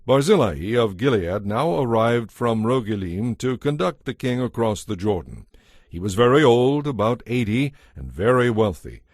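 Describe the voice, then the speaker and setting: A man narrating scripture from a script in a measured, formal manner. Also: mid-range voice, big pitch swings, darkish tone, dry recording, clean studio sound.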